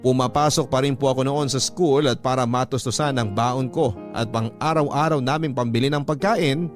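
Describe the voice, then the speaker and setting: A man's voice: medium pitch, normal speed. A man with a Filipino accent reading a letter as scripted radio storytelling narration. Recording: studio narration over soft music.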